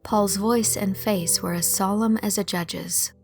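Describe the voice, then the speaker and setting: A woman's voice: mid-range voice, fairly even intonation, a little slow, creaky, faint music behind. A woman reading scripted audiobook narration as a storyteller.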